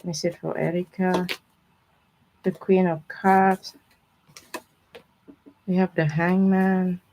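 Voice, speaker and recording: A woman's voice: on the low side, flat delivery, slow pace, mellow, a little hiss or hum, poor audio. A woman with informal, non-native English phrasing, chatting casually with viewers as she gives a live tarot reading. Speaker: tarot reader hosting a live stream.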